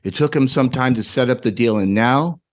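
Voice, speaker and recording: A man's voice: mid-range voice, moderate pace, dull audio. A man giving a dramatic reading of his own short story as a podcast co-host.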